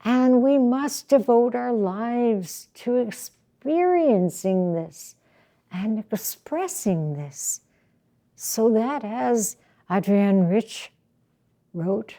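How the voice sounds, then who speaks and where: Slow, measured woman giving a Zen talk. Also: a little high, animated, warm tone. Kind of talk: reading aloud and commenting in a sermon.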